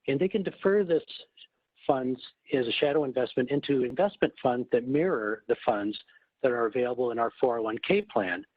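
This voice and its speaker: Man, husky voice, measured explanatory presenting, formal, thin echoey phone audio. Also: medium pitch. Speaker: corporate treasury executive presenting. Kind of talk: prepared webinar presentation. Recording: muffled phone line, roomy echo.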